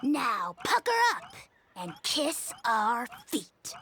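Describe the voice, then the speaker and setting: A woman's voice: high-pitched, wildly swooping pitch, slow, sharp tone, a little hiss or hum, heavily acted. A woman voicing a tiny, pompous dragon lord in a video game, theatrical and easily outraged, given to grandiose declarations and threats. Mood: haughty, gloating. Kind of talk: video game character acting.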